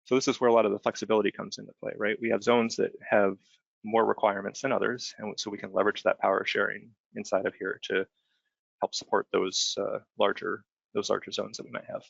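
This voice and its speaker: A man giving an informal, step-by-step software demonstration as a webinar trainer, explaining conversationally and often checking in with short tag questions. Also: fairly low pitch, little pitch movement, quick pace, creaky, breathy edge, no room sound. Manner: conversational, explanatory, frequent check-in tags like "right?". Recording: dull, band-limited close dry voice recording.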